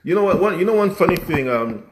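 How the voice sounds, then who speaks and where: A man, a musician guest in casual conversation, who rambles with many restarts and animated emphasis. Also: high voice, fast, noisy background.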